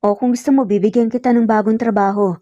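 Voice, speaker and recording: A woman voicing an earnest, teasing young male engineer in an audio romance story, speaking gently and sincerely with bits of English mixed in. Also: a little high, fairly even intonation, fast, no room sound, highs cut off, close to an everyday voice. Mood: tender, sincere.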